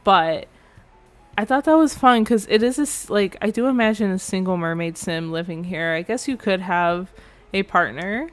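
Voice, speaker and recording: A woman's voice: medium pitch, normal speed. Chatty young woman, slightly nasal. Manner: chatty, rambling, self-correcting. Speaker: game build creator. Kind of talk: casual voice-over commentary. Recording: faint background music.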